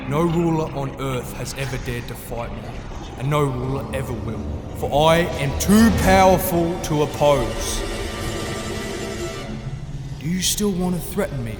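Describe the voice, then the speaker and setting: A man's voice: mid-range voice, close to an everyday voice. An actor playing a powerful gnome king in a fantasy audio drama, gleefully menacing and mock-polite, toying with his listeners and swinging from chatty to grand. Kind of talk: full-cast fantasy audio drama acting. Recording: echoey reverberant hall, music underneath.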